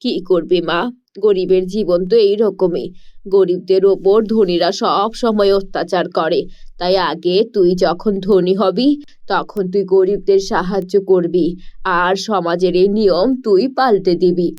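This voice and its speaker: Woman voicing a lower, weary village parent. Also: fairly even intonation, measured pace, muffled recording, close to an everyday voice. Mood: weary resignation. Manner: resigned, cautioning, patient explaining. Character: poor, weary, resigned fisher parent of village girl. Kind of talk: cartoon storybook character voice.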